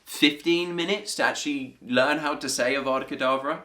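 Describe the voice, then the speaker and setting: Bright-voiced man in a roomy space. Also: medium pitch, a little fast. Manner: chatty, amused, prone to laughing. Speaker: amateur pantomime cast member. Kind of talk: casual reaction commentary. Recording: roomy echo, faint background noise.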